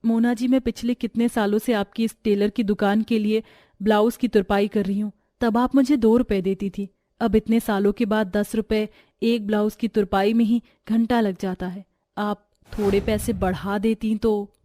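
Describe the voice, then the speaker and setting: A woman's voice: medium pitch, steady tone, normal speed, mellow, no room sound, studio quality, close to an everyday voice. A woman voicing a meek, poor, worn-down seamstress and sister-in-law in a family story. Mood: pleading.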